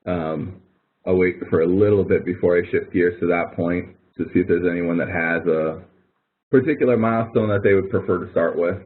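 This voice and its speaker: A man's voice: deep voice, brisk. A man instructing students in an informal online webinar, explaining in a rambling, hesitant way with repeated words. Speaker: instructor running a help webinar. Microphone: online webinar audio connection. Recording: narrow, tinny online-call audio.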